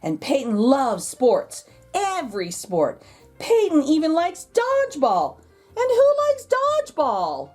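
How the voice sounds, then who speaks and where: A woman's voice: high-pitched, huge pitch swings, slow, darkish tone, faint music behind. A woman host reading a children's storybook aloud expressively, drawing out key words for emphasis. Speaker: children's picture book read-aloud host.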